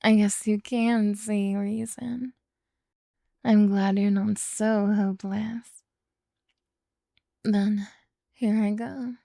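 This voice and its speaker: A woman voicing a possessive, doting yet menacing beast-girl captor in a soft, coaxing ASMR roleplay. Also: medium pitch, steady tone, dry recording, clean studio sound. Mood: doting, pleased. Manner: slow, coaxing, quietly domineering.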